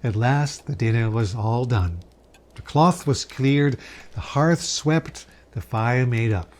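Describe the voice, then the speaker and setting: A man giving a dramatic storybook reading of a Victorian Christmas tale, savoring the words with expressive pauses. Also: medium pitch. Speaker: storyteller reading a classic novel aloud.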